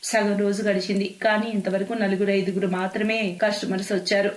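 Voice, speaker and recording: Woman narrating a scripted kids moral story, mid-pitched voice, echoey recording. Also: level tone, brisk.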